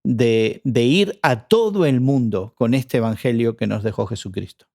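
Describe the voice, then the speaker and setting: A man's voice: medium pitch, normal speed, a little mellow. A man on a radio panel, talking earnestly about faith and identity, often restarting his words. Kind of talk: faith discussion.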